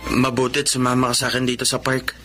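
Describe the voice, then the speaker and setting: An actor playing a polite, level-headed young bachelor in a Filipino melodrama, speaking frankly and courteously. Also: male voice, medium pitch, completely flat delivery, fast, over loud music, natural voice. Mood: frank, confiding. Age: young adult.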